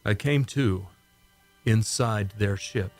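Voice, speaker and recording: A man acting in an old-time radio drama as a shaken, insistent rocket test pilot, speaking urgently and haltingly. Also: lowish voice, a little mellow, faint music behind. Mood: uneasy, defensive.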